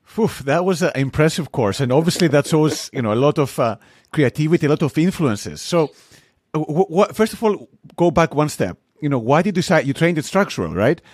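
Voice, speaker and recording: A man's voice: on the high side, expressive, fairly quick, darkish tone, no room sound, studio quality. A man hosting a podcast, friendly and asking questions with hesitant restarts. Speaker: podcast host. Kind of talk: interview hosting.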